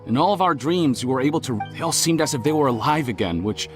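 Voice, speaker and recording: A man plays a stubborn everyday townsman in a video game. He talks fast in a hesitant, apologetic, conversational way at a medium pitch. Faint music sits behind him. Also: lively intonation, close to an everyday voice. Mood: reluctant, apologetic. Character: ordinary townsman, hesitant but stubborn dreamer.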